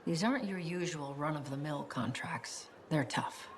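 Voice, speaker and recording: A woman playing a calm, authoritative spymaster in a video game cinematic, speaking in a measured way. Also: deep voice, a little hiss or hum, lightly acted. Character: calm, authoritative female spymaster handing out contracts.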